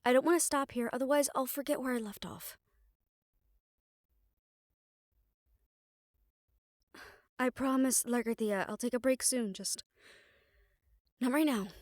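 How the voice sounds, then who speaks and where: A woman's voice: high-pitched, fairly even intonation, normal speed, no room sound, studio quality, lightly acted. A woman playing a stern, exasperated young siren banshee spellcaster in a roleplay audio drama, talking conversationally and emphatically. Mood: earnest, focused. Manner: conversational, sighing, chiding, emphatic.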